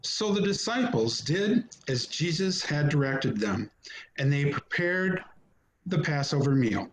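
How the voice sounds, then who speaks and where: Male narrator for scripture reading, slightly high register, home video-call recording. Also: big pitch swings, a little slow, a little hiss or hum, rough recording.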